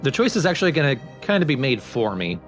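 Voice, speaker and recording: A man's voice: mid-range voice, sing-song, quick pace, a bit bright. A man hosting a let's play, explaining the game in casual commentary. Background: video game music.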